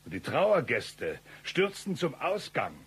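An actor in a comic radio play, playing an excitable, blustering gunman out for revenge, with a theatrical, rough delivery. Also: on the high side, takes it slowly, heavily acted. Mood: grimly dramatic. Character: excitable male gunman avenging his brothers, melodramatic and absurd.

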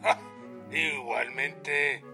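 An actor playing an oily, condescending Mexican federal army general in a radio drama. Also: male voice, medium pitch, steady tone, drawn-out words, bright, forceful tone, soft background music, heavily acted. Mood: smugly coaxing.

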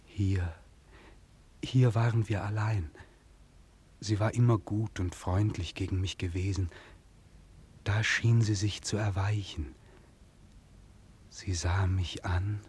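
Very husky, lovelorn young man. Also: on the low side, steady tone, a little mellow, heavily acted. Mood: anguished, yearning. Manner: theatrical, halting, half-whispered. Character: anguished, lovelorn, despairing young townsman, rejected suitor. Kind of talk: 1940s German radio drama acting, brooding monologue. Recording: old 1940s radio recording.

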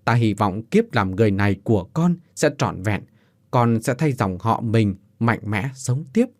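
A man voicing an ancient serpent spirit who speaks slowly and gravely. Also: medium pitch, very animated, warm tone, no room sound, clean studio sound. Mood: solemn. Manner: slow, solemn, grave.

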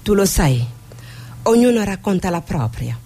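A woman's voice: medium pitch, big pitch swings, normal speed, dark tone, slightly rough. A woman acting a dutiful, questioning character in scripted drama dialogue. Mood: questioning, resigned. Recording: long hall reverb, faint music.

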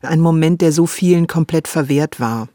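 A woman's voice: sits low, moderate pace, mellow, no echo. Female radio host, emphatic literary talk, thinking aloud, close dry studio. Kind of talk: literary discussion.

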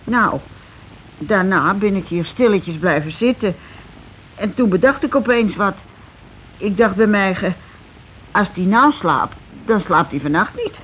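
A muffled old radio play recording with some noise carries the voice of a shaken housewife, played by an actress. She is working-class and plain-spoken. Her lines come haltingly, with repeats and broken-off starts. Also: mid-range voice, animated, natural voice. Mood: matter-of-fact, dazed. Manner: halting, repetitive, falters mid-sentence. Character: shaken working-class housewife circling around grim news. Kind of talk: naturalistic radio play dialogue.

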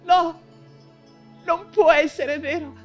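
An actress playing an anguished, ailing woman writer imprisoned in a camp, in a halting, fevered theatrical monologue. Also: very high-pitched, fairly even intonation, faint music behind. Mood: horrified. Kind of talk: dramatic stage monologue in Italian theatre.

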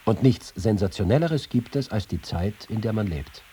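A cocky reporter reading his own letters on radio, really rough husky voice. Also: on the low side, lively intonation, moderate pace, dark tone. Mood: emphatic. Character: witty, boastful, combative male reporter and writer. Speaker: actor reading letters and writings. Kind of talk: dramatized reading in a radio feature.

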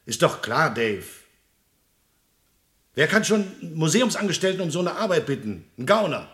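A man's voice: on the high side, very animated, normal speed, a bit bright. A man acting in a vintage crime radio play as a suspicious museum restorer, brusque and sardonic. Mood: wary, insistent. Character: gruff, suspicious male museum restorer warning a friend. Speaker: radio drama actor.

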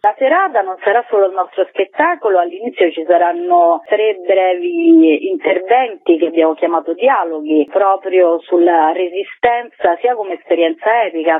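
A woman's voice: lowish voice, animated, measured pace, few pauses, no room sound. A woman, a theatre artist being interviewed, explaining her work in long, flowing, articulate sentences. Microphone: telephone. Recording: tinny phone line on radio.

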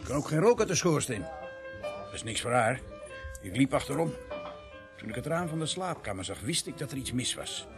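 An actor playing a shaken village man in a radio drama, speaking haltingly in short phrases with a gravelly voice. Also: mid-range voice, sing-song, over music. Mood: uneasy. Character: shaken village man, Albert, reporting a grim discovery.

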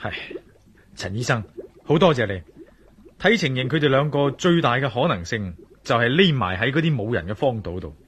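A man's voice: medium pitch, expressive, normal speed, fairly bright tone, breathy edge. A man with a Hong Kong Cantonese accent playing an earnest, questioning investigator hero in a radio drama. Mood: troubled, determined. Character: male science fiction hero, troubled, questioning, determined investigator. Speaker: voice actor.

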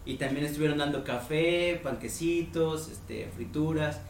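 A man's voice: fairly high pitch, measured pace, vocal fry, echoey room, a little hiss or hum. A man with a Mexican Spanish accent, chatting casually on a podcast and explaining things step by step. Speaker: podcast host. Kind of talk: casual chat.

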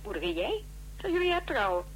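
Radio play role: estranged daughter of twenty, cool and sardonic, fairly high and bright, with hum and noise behind. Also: female voice, big pitch swings, fairly quick. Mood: mocking. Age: young adult. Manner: curt, mocking. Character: aloof, mocking twenty-year-old estranged daughter. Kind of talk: Dutch radio drama acting. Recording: noisy, humming radio play recording.

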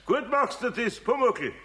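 Gravelly old Bavarian carpenter. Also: male voice, high-pitched, noisy background, heavily acted. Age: older. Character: kindly, patient old master carpenter, gently teasing. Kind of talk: 1970s children's radio play acting.

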